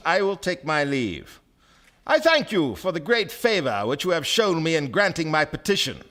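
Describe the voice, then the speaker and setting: A man playing a sly, self-assured gentleman speculator in a stage radio play, speaking formally like an orator. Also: high-pitched, heavily acted. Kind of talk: stage radio drama acting.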